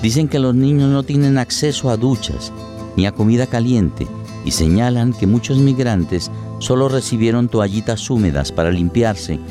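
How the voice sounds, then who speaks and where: A man's voice: mid-range voice, lively intonation, moderate pace. A man narrating a scripted radio play in a dramatic storytelling style. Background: music with guitar.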